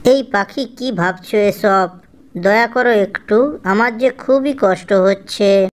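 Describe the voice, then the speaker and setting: A woman's voice: mid-range voice, takes it slowly, natural voice. A woman voicing a pitiful, suffering banana tree that pleads, in a children's cartoon. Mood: pleading, pained. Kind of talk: Bengali children's cartoon dubbing.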